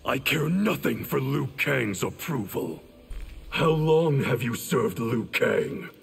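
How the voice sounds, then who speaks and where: An actor playing a proud, defiant warrior in a fighting game, with a husky, gravelly voice and curt delivery. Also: male voice, medium pitch, lively intonation, slow, long reverb tail, full character voice. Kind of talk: fighting game character dialogue.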